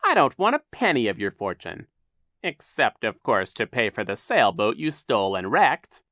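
A man's voice: medium pitch, very animated, pushed and bright, no echo, clean studio sound. A man voicing a menacing villainous sea captain in an audiobook, sneering and falsely sweet, drawing out his words. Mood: feigned innocence. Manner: falsely soothing, sneering, drawn-out delivery.

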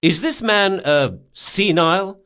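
A man narrating an audiobook, voicing a brash, sardonic thief who speaks forcefully, with menace and exasperation. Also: sits high, animated, slow, clean tone, pitched above the performer's own voice, heavily acted. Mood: exasperated. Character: brash, sardonic male thief, threatening, foul-mouthed.

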